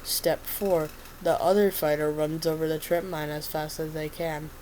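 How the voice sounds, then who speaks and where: A woman's voice: sits low, little pitch movement, moderate pace, darkish tone, slight background noise, lightly acted, rough recording. A woman with a stuffy nose reading the part of a weary male doctor telling his story in first person. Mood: wry.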